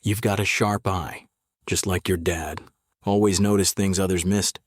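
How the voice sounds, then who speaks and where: A man playing a tired middle-aged policeman in an audio drama, fatherly and conversational while quietly probing. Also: sits low, fairly even intonation, unhurried, no echo, clean studio sound. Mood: calm, probing. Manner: casual, conversational, subtly probing. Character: tired gray-haired cop, fatherly yet quietly dangerous.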